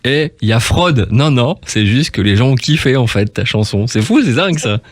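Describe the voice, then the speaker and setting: A man's voice: medium pitch, lively intonation, normal speed, no room sound, studio quality. A man hosting a radio interview in a casual, chatty way, rambling enthusiastically from one aside to the next. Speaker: radio host interviewing a guest. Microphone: close studio radio microphone.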